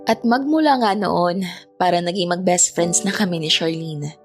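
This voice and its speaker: A woman's voice: fairly low pitch, normal speed, music in the background, lightly acted. A woman with a Filipino accent acting a reflective young woman who narrates her own story in an audio drama. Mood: reflective, fond.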